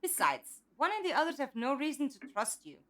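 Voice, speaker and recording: A woman playing a calm, trusting captive in an audio drama, speaking politely and reasonably. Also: sits high, brisk. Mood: candid, calm. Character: calm, curious, trusting female captive with lost memory. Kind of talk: fan audio drama acting.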